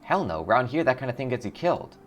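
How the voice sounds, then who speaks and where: A man voicing a gruff, curt female dwarf street merchant in a video game. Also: mid-range voice, big pitch swings, fast, voice pushed up, natural voice. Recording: clear background noise.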